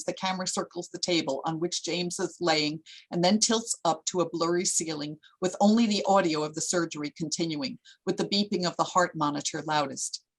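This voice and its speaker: A woman's voice: lowish voice, rough recording. A woman reading stage directions as the narrator of a screenplay.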